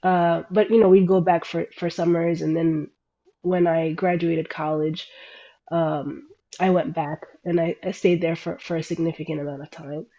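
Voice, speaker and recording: Guest, young woman, mellow tone, thoughtful with many restarts, from Sudan and the US, lo-fi call sound. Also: low voice, level tone, moderate pace. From Sudan and United States. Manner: reflective, searching for words with many restarts. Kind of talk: casual interview talk.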